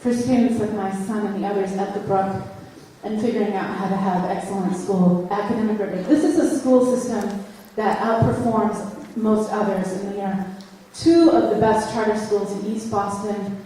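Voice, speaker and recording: A woman's voice: mid-range voice, steady tone, moderate pace, hardly any pauses, warm tone, a little hiss or hum. A woman and mother testifying at a public hearing, earnest and pleading. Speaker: parent testifying. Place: large hall, indoors. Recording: echoey hall from far off.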